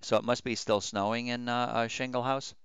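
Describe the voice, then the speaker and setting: A man's voice: medium pitch, level tone, fairly quick, fairly bright tone, clean tone, no room sound. A man chatting casually on a livestream as a radio hobbyist host, rambling in a folksy, hesitant way.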